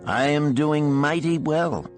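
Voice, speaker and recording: A man's voice: fairly high pitch, takes it slowly, faint music behind, pitched above the performer's own voice. A man voicing a hearty, hopeful slave who is now a proud foreman, in earnest, archaic audiobook dialogue.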